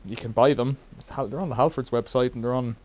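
A man's voice: mid-range voice, fairly even intonation, quick pace, a little mellow. A middle-aged man casually demonstrating a bike repair in a cluttered workshop, rambling with wry asides.

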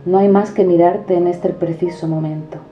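A woman declaiming poetic prose in a solemn, measured recitation. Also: lowish voice, steady tone. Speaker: poetry reciter. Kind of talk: declaimed spoken-word poetry. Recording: dull reverberant recording over music. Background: background music.

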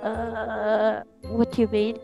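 A woman voicing a scheming, excitable older child in an improvised roleplay, talking in exclamations and conspiratorial rambles. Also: fairly high pitch, slow, vocal fry. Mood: excited, sneaky. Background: music.

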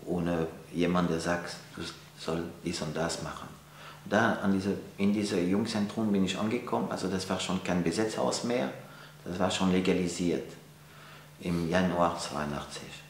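A man's voice: sits low, normal speed. A man in his forties from France, speaking German with a French accent, answering interview questions in a gallery with many fillers and restarts. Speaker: interviewee, painter. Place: art gallery, indoors. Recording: echoey room, faint hum.

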